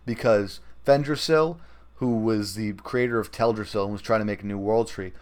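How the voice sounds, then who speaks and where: A man's voice: lowish voice, moderate pace. A man talking casually about gaming as a podcast host.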